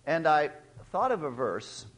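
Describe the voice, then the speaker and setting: A man's voice: sing-song, measured pace, low-quality recording. A middle-aged man preaching a sermon, reading scripture aloud and explaining its old words as he goes. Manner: pitch rises sharply and louder when stressing verses. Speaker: pastor.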